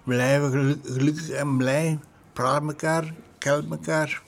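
Gravelly, halting man. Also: a little high, flat delivery. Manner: halting, short broken phrases. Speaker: care home resident. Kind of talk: interview answers.

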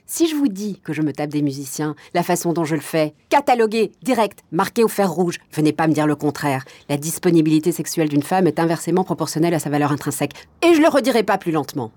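An actress playing a brash, defiant jazz club owner in a naturalistic drama, talking colloquially with a sardonic edge. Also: female voice, low voice, normal speed, pauses often, dark tone. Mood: defiant, heated. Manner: colloquial, sardonic, musing aloud. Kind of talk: naturalistic drama monologue and dialogue.

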